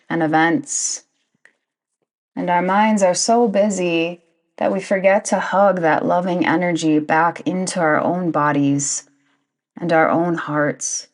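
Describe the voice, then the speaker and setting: A woman guiding a yoga nidra meditation in a soothing, deliberate manner, with long pauses between phrases. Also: on the low side. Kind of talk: guided meditation narration.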